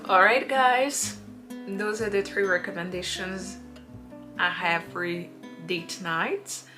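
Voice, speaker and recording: Unhurried woman over background music. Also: mid-range voice, fairly even intonation. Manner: unhurried, drawn-out phrasing with playful acted quotes. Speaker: perfume reviewer. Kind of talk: casual perfume recommendation vlog. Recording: music playing underneath.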